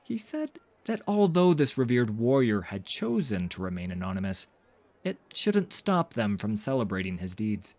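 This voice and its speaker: Expressive low-voiced man narrating fantasy. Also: normal speed. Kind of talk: dramatic scripted fantasy story narration.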